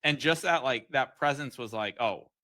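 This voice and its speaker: Bright, forceful man chatting casually. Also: mid-range voice, normal speed. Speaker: podcast panelist. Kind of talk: casual chat, commenting on a sales call.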